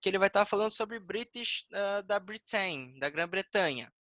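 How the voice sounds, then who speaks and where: A male English teacher with a Brazilian Portuguese accent, explaining a lesson patiently and repeating words slowly. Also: high-pitched, expressive, bright, forceful tone, no room sound, highs cut off.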